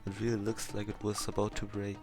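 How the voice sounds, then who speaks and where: A man's voice: lowish voice, completely flat delivery, fast talker. A man who is a native German speaker, casually commenting on a game and reading its dialogue aloud. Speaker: gamer playing on a recording. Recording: loud game music over voice.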